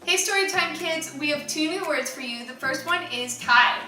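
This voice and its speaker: High-pitched woman reading aloud, echoey room. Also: moderate pace, sharp tone, recorded from a distance, faint music behind. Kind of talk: expressive read-aloud storytelling for children.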